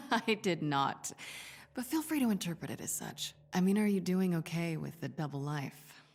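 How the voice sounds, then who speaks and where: A woman's voice: on the low side, animated, normal speed, vocal fry, darkish tone. A woman voicing a composed, dryly witty spy heroine in a video game, recorded in a reverberant space. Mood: dry, teasing. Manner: dry, composed. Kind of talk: naturalistic video game voice acting. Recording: long reverberant hall echo.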